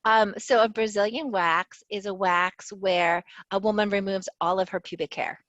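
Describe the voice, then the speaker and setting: A woman's voice: medium pitch, fairly even intonation, moderate pace, a bit bright, dry recording, muffled recording. A woman sociology professor from the American South, answering interview questions earnestly and thoughtfully, often laughing. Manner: earnest, thoughtful, laughs easily, restarts phrases. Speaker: sociology professor and author, guest.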